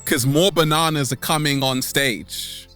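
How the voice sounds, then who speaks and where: A man hosting a vlog as a comedic monologue to camera, theatrical, with dramatic pauses and repeated catchphrases. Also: a little high, sharp tone, soft background music. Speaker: vlog host.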